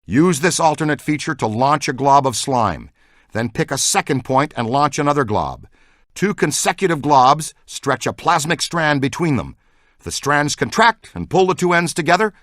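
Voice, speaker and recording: Bright-voiced, geeky paranormal investigator. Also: male voice, fairly high pitch, very animated, normal speed, no echo, clean studio sound. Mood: urgent, instructing. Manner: earnest, technical jargon, swings into boyish enthusiasm. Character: excitable, geeky male paranormal investigator and scientist. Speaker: video game character voice. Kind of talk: scripted game dialogue.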